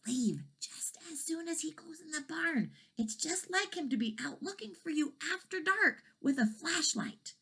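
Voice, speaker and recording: Gentle, friendly storybook cows, voiced by a woman reading aloud. They speak high and low-voiced in a conspiratorial hush, bright in tone with lilting pitch. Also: sing-song, normal speed, heavily acted.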